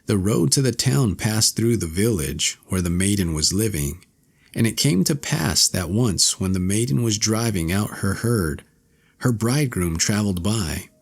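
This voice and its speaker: A man narrates an old fairy tale in a fairly low voice. His reading is scripted and measured, in the manner of an audiobook storyteller, with music present. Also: expressive, creaky, husky.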